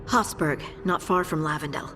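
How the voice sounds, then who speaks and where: Woman as a brisk, determined ally, reverberant. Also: low voice, natural voice. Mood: urgent. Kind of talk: video game voice acting. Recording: roomy reverb, faint music behind.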